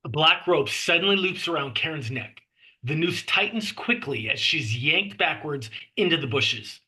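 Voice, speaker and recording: Bright, forceful man reading stage directions. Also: fairly high pitch, lively intonation, moderate pace, poor audio. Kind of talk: scripted narration at a table read.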